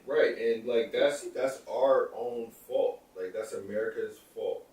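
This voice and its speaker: A man's voice: medium pitch, measured pace, a little mellow. A man in his thirties co-hosting a podcast, rambling through his opinions in casual chat. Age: 30s. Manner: rambling, lots of "like" and restarts. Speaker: podcast co-host. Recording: echoey room, far from the mic.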